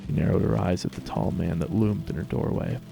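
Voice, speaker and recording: Deep gravelly male story narrator. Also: fairly quick, over music. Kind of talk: dramatic fan fiction story reading.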